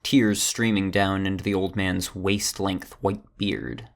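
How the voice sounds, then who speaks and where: A male narrator with a low, gravelly voice tells a fantasy tale in a steady storytelling manner. His deep tone carries a rough edge throughout. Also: slow. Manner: steady storytelling, does all character voices. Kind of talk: audio drama narration.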